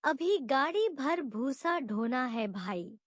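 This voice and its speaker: Woman as old male labourer, storybook character, timid, weary, hesitant, very slow, high voice. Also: lively intonation, no echo, studio quality, exaggerated voice. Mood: weary, humble.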